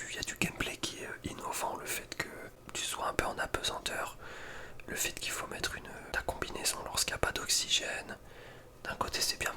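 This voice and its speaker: A man speaking in a breathy whisper, giving informal, slangy ASMR commentary as a gaming video creator. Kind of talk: whispered ASMR casual commentary ranking video game maps.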